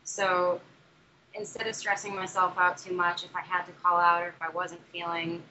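A young woman speaks in a bright, forceful voice, chatting through her answers with plenty of fillers and restarts. Her pitch sits a touch low. The recording is muffled, with a faint hiss underneath. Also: little pitch movement, moderate pace. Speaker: musical theatre actress, interview guest. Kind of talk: casual interview answers.

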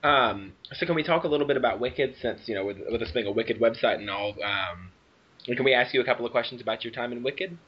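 A man's voice: medium pitch, fast, husky. A man interviewing a guest for a fan website, asking hesitant, rambling questions full of fillers. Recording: dull muffled recording.

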